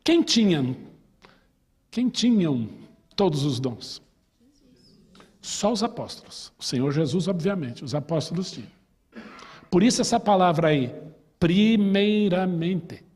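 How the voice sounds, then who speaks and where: Man, Brazilian Portuguese accent, pastor teaching Bible class, husky, fairly high voice, reverberant church hall. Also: sing-song, unhurried, pauses often, a little mellow. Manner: chatty, asks the class questions, tells personal stories.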